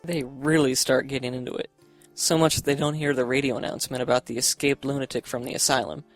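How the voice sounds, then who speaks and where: A male actor as a young boyfriend in a horror radio play. He talks in a medium-pitched, casual and eager voice, like someone playfully trying to spook his date, with music behind him. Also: steady tone, quick pace, natural voice. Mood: teasing, lurid. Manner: eager, teasing storyteller. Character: teasing young boyfriend telling a lurid scary tale. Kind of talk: casual dialogue in audio horror drama.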